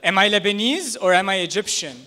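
High-voiced man doing stand-up. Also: fairly quick, bright, forceful tone. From United States. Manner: conversational, self-deprecating storytelling. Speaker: stand-up comedian. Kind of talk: stand-up comedy set. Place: small comedy club stage, indoors. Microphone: handheld stage microphone.

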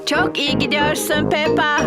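Motherly cartoon pig: high-pitched, very expressive, caring with a teasing edge, music underneath. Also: a little slow, heavily acted. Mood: encouraging. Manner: encouraging, motherly. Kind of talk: children's cartoon dubbing. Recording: music behind the voice.

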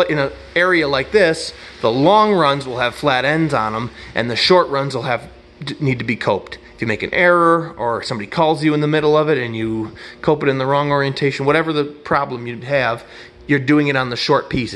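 Folksy middle-aged carpenter explaining trim work. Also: male voice, medium pitch, fairly bright tone, a little hiss or hum. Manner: rambling, folksy, self-correcting explanations. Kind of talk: casual hands-on instruction. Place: empty apartment room under renovation, indoors. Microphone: head-mounted action camera.

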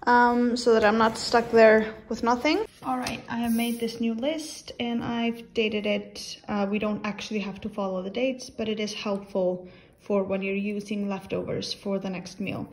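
A woman's voice: fairly high pitch, little pitch movement, normal speed. A woman vlogger chatting casually with many fillers, explaining her weekly grocery plan at home.

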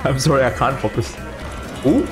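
A man's voice: medium pitch, sing-song, moderate pace. A man giving casual live reaction commentary, exclaiming and repeating his phrases. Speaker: reaction video host. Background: game trailer music.

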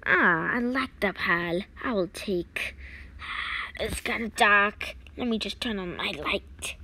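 A woman's voice: medium pitch, takes it slowly, bright, forceful tone, exaggerated voice. A woman voicing a greedy, scheming sea creature in a cartoon, muttering slyly.

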